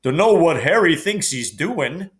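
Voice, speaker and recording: A man voicing a big, gruff, worried rustic gamekeeper with folksy grammar in a storybook reading. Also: on the high side, lively intonation, a little slow. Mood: puzzled. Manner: folksy, dropped words, double negatives.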